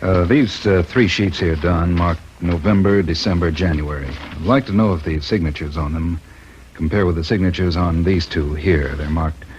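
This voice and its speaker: Vintage radio crime drama, male parole officer played by an actor: deep, plain-spoken, matter-of-fact, on a muffled old recording. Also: moderate pace, darkish tone, lightly acted. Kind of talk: 1950s radio drama acting. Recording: dull, faintly noisy old radio recording.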